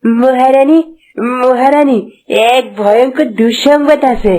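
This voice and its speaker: A woman's voice: sits high, slow pace, mellow, a little hiss or hum. A woman voicing a cautious, formal palace guard with an urgent manner in a cartoon fairytale. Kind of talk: dramatic cartoon story dialogue. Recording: music behind the voice.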